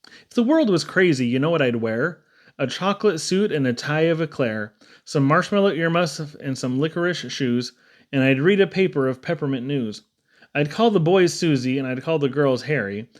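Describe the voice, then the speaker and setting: A man's voice: on the high side, expressive, moderate pace. A man reading humorous children's poems aloud in a theatrical, playful storytelling manner. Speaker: poetry reader.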